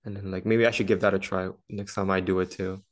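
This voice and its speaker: A young man hosting a casual interview over a video call from his living room, asking relaxed, friendly questions. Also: sits low, fast. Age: young adult. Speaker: host. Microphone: laptop webcam on a video call.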